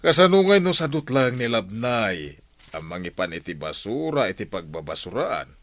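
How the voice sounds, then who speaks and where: A man's voice: on the low side, very animated, moderate pace, dry recording. A man playing a self-important, money-loving village captain in an Ilocano comedy radio drama, with an Ilocano accent. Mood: scolding.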